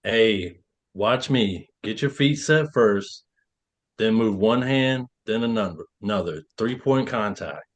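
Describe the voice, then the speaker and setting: A man acting a seasoned, steady oil rig hand in a drama script read. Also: fairly low pitch, takes it slowly. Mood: calm, instructing. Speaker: actor.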